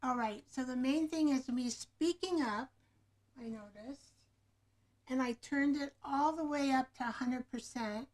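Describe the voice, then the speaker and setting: Soft-spoken woman hosting a slow craft-along. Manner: soft, low-voiced, thinking aloud while working. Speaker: craft show host. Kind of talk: relaxed craft-along livestream. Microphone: camera microphone in front of her.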